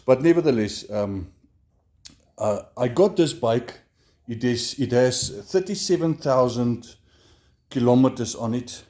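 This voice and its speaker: A middle-aged Namibian man with an Afrikaans accent, chatting casually in a self-made review, halting and repeating words with many fillers. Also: medium pitch, lively intonation, mellow. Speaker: motorcycle vlogger.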